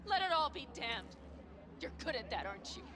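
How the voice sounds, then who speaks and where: An actress voicing a proud, stubborn young nomad woman in a video game, sharp-tongued. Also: sits high, big pitch swings, moderate pace, over a noisy crowd, heavy background noise. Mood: bitter. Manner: sharp, bitter.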